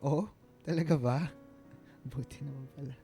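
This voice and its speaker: An actor with a Filipino accent playing an earnest, smitten ex-boyfriend in his late twenties, speaking haltingly in a radio drama. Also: mid-range voice, warm tone, close to an everyday voice. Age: 20s. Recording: music bed behind the voice.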